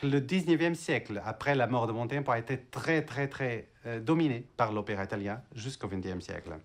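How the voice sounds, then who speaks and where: A man's voice: medium pitch, brisk. A man, interviewed as an expert. He explains things informally and leans on his key words for emphasis. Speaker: musician interviewee, violist and composer.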